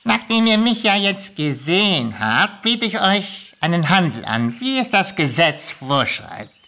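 An actor doing a grumpy magical kobold from a storybook. The voice is sharp and forceful, and the pitch swings up and down. He haggles with sly nonchalance and is quick to get irritated. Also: big pitch swings, heavily acted. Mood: sly, lecturing. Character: grumpy, sly male kobold dealmaker, ancient magical creature. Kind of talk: lively audiobook character reading.

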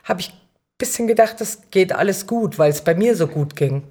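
A woman's voice: fairly low pitch, lively intonation, normal speed, mellow. A woman chatting informally and colloquially as a guest in a discussion. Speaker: podcast guest, city councillor. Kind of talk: casual conversational discussion.

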